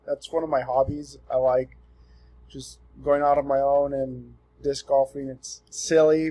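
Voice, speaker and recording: A young man in his twenties from Southern California talks through a self-introduction in an easygoing, sincere way. His voice is mellow and slightly husky, and he fills his pauses with ums. The pitch sits at an ordinary middle level for a man. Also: poor audio. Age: 20s. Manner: relaxed and earnest, with frequent ums and restarts. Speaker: student presenting himself. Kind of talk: casual self-introduction for a class assignment.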